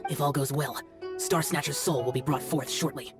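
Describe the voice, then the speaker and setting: A man playing a stern, aloof, youthful immortal demon slayer in a video game, with solemn, formal, measured delivery. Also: medium pitch, fairly even intonation, over music, natural voice. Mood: solemn instruction. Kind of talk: scripted video game dialogue.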